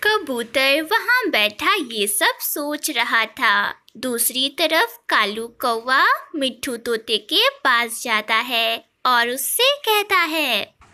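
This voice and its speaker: Female narrator for children's tales: high, bright voice, unhurried delivery. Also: expressive. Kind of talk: scripted children's story narration.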